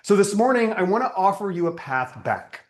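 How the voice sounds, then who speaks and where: A man delivering a guided spiritual sermon as a teacher, reflective and deliberate, repeating phrases for emphasis. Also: sits high, big pitch swings.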